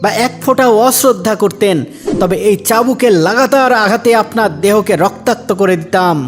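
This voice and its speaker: A man playing a stern, fair Islamic court judge in an animated moral drama, with formal, declamatory delivery. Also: high-pitched, fairly quick, lightly acted. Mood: firm. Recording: faint music behind the voice.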